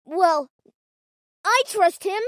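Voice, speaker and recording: A woman's voice: extremely high pitch, lively intonation, drawn-out words, fairly bright tone, no echo, studio quality, full character voice. A woman voicing a small, trusting, eager young boy in a fantasy drama. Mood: eager, pleading. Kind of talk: fantasy audio drama acting.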